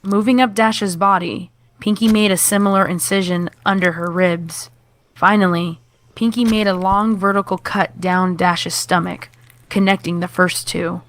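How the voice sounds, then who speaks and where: Lower-voiced woman narrating a horror story. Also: fairly even intonation, measured pace.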